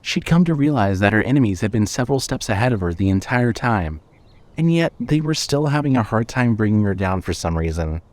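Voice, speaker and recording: A man's voice: on the low side, animated, a little fast, darkish tone. A man reading scripted narration for a horror fiction audio drama.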